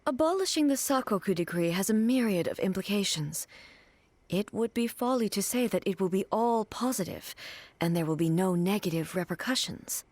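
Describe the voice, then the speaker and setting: A woman's voice: mid-range voice, lively intonation, a little mellow, no echo, natural voice. A woman voicing a composed regal ruler. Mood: calm regal. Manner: formal, measured, dignified. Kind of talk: video game voice acting.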